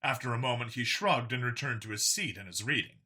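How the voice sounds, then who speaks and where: A man's voice: mid-range voice, fairly quick, bright, forceful tone, slightly rough. A man reading a science fiction story as a dramatic scripted narration. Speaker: audiobook narrator.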